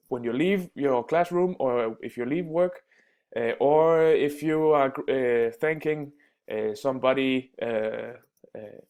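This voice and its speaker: A man teaching everyday phrases in a casual spoken lesson, pausing often with fillers and restarts. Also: mid-range voice, darkish tone. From Denmark. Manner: halting, many fillers and restarts. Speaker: language teacher.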